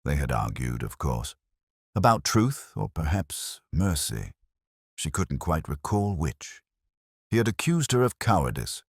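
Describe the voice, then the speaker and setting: Very deep-voiced male mystery narrator. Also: big pitch swings, slightly rough, no echo, clean studio sound. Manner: measured, literary, reflective. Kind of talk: scripted first-person detective story narration.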